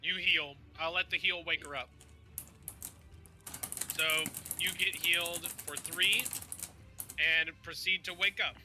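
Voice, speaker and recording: A man's voice: a little high, steady tone, slow pace, pauses often, bright, forceful tone, faint music behind. A man running a casual tabletop game as game master, narrating and bantering with players.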